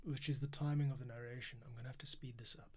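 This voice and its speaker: A man's voice: medium pitch, fairly even intonation, racing, husky. A man hosting a casual music composition livestream, thinking aloud, counting beats and muttering to himself as he works.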